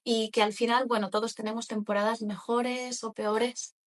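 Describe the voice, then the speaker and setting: A woman's voice: a little high, level tone, normal speed. A woman hosting a podcast, interviewing a guest in a casual, informal and attentive way.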